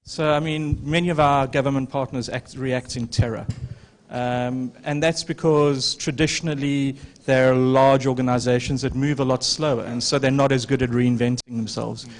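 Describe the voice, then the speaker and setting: A man on a conference panel giving measured, explanatory answers, often pausing with fillers. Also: medium pitch, fairly even intonation.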